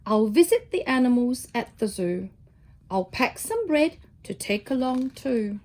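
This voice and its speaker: A woman acts out a hapless, impulsive storybook man. The voice is high and swooping, with an exclamatory, sing-song delivery made for a children's picture book. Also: very animated, measured pace, darkish tone. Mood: musing.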